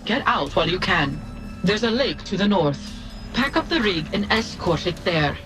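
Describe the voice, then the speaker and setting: An actor playing a brisk, loyal military officer briefing a general in a strategy game, clipped and businesslike. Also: male voice, high voice, lively intonation. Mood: brisk, encouraging. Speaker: military adviser briefing the player. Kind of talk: scripted game mission briefing. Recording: game music behind the voice.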